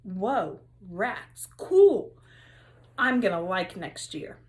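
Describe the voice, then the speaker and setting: A woman's voice: medium pitch, big pitch swings, drawn-out words. A woman voicing a brash, cocky third grade boy with slangy, teasing talk in a storybook. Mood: excited.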